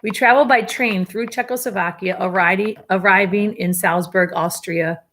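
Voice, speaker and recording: A woman teacher reading a memoir aloud to her students, at a steady pace with small slips she corrects, and now and then a casual aside to the class. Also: mid-range voice, normal speed, slight background noise.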